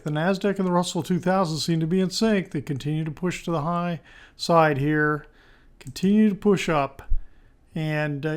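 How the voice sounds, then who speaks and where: A man with a fairly high, slightly hoarse voice talks through stock charts as the presenter. His delivery is informal and tentative, and he works out his thoughts aloud while commenting. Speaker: stock market video presenter. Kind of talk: screen-share market analysis commentary.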